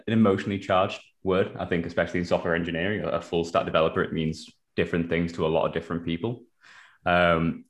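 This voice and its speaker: Male engineering lead as interview guest, low voice, conversational and explanatory. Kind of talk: casual professional interview talk.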